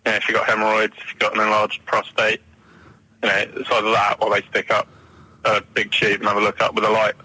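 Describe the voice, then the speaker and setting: A man's voice: lowish voice, completely flat delivery, fast talker, bright, forceful tone, slightly rough, poor audio. A man in his twenties phoning in to a radio show, explaining things casually and matter-of-factly. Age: 20s. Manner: matter-of-fact. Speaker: radio phone-in caller, medical student. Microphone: telephone.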